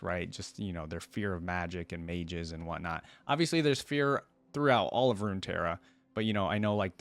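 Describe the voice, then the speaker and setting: A man hosting a reaction video, talking casually and reading a story aloud. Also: lowish voice.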